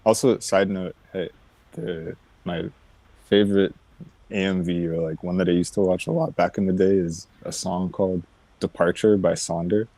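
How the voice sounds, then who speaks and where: Deep-voiced hesitant man, dry sound. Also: fairly even intonation, mellow, no room sound. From Mississauga, Canada. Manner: hesitant, halting. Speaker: band member. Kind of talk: casual livestream chat.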